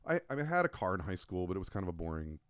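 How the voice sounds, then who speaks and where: Male co-host, low voice, animated and rambling, dry close studio sound. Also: very fast, smooth, dry recording. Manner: rambling storyteller, self-mocking. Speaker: podcast co-host and logo designer. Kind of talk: casual chat.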